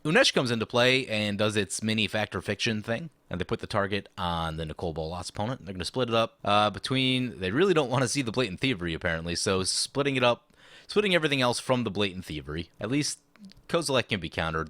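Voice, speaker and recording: A man with a slightly hoarse, lowish voice talks through a card game as he plays it, weighing each move aloud. His delivery swings widely in pitch, and his tone is bright and forward. The sound is close and dry. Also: animated, quick pace, dry recording. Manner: thinks aloud through his moves, chatty and explanatory. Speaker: channel host. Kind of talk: play-by-play card game commentary.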